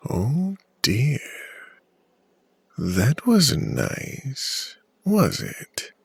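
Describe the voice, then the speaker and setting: A man voicing an uncanny, not-quite-human forest figure in an audio drama, with a gravelly, husky voice and a slow, knowing, chiding manner. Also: medium pitch, huge pitch swings, dry recording, full character voice. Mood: gently chiding. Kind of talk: audio drama character acting.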